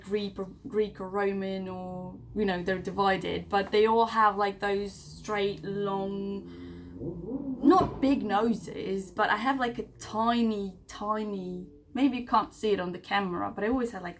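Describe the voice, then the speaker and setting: A woman's voice: mid-range voice, fairly even intonation, measured pace, slight background noise. A woman in her late twenties from Serbia, chatting casually to the camera in a personal vlog, rambling with fillers and self-corrections. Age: 20s. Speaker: vlogger.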